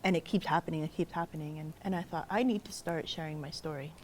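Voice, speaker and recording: A woman's voice: low voice, quick pace, slight background noise. A woman, an activist, speaking earnestly and reflectively in a documentary interview, often restarting her sentences. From Canada.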